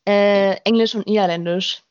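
A woman's voice: medium pitch, steady tone, moderate pace, darkish tone, clear, resonant tone, highs cut off. A woman in her twenties chatting casually as a student podcast guest, with a giggly way of talking. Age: 20s. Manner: giggly, often laughing while talking. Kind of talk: casual chat.

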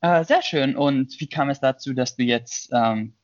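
A man's voice: mid-range voice, unhurried, dry recording. A man hosting a casual student podcast, introducing guests and asking questions, on a slightly muffled remote recording. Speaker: podcast host.

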